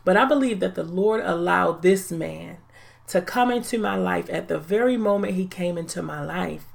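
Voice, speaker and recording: A woman in her forties hosting a faith-based podcast, talking in an earnest, deliberate, reflective way. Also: on the low side, vocal fry. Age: 40s. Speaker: podcast host.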